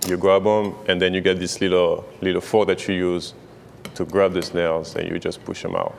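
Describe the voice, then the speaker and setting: French-accented male chef, deep and halting, reverberant studio sound. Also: little pitch movement, vocal fry, darkish tone, slight background noise. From France. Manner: hesitant, many uh fillers. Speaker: chef guest. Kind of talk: morning TV interview.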